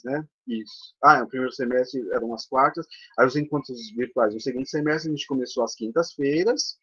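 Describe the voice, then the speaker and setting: A Brazilian-accented man talks through his slides as a theatre teacher for older adults, chatty and full of tag questions. His voice sits at an ordinary middle pitch for a man. The sound comes through a dull, lo-fi video call. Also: a bit bright, husky. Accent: Brazilian Portuguese. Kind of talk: conference presentation over slides. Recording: dull lo-fi online call audio.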